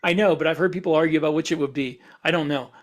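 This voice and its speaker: A man's voice: on the high side, very fast. A man co-hosting a podcast, talking through ideas in a casual, explanatory way as he thinks aloud. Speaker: podcast co-host. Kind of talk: casual discussion of speculative science for fiction.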